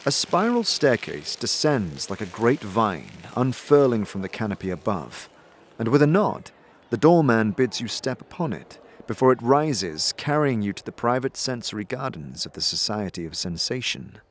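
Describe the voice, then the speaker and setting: A man narrating a fantasy audio drama as a storyteller, in a deliberate, theatrical scripted style. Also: fairly low pitch, very animated. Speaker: narrator and game master. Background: background music.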